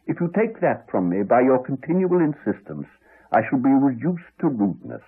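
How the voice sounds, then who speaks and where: An actor with an English accent playing a crotchety, evasive village doctor with dry, formal courtesy, in a muffled 1980s radio drama. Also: male voice, mid-range voice, husky. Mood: coldly courteous. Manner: dry, formal, measured politeness. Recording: muffled, band-limited 1980s radio recording.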